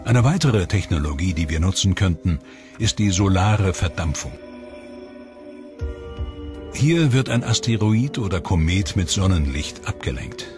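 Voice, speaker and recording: A male narrator delivers scripted science documentary narration. His voice sits on the low side. Music plays clearly underneath him as he reads. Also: animated, a little slow. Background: background music.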